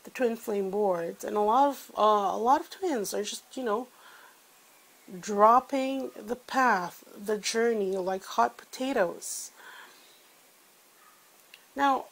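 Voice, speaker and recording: A woman's voice: mid-range voice, takes it slowly, vocal fry, pauses often, low-quality recording. A woman with a congested voice, giving a chatty weekly tarot reading with many fillers. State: congested from a cold. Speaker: tarot reader.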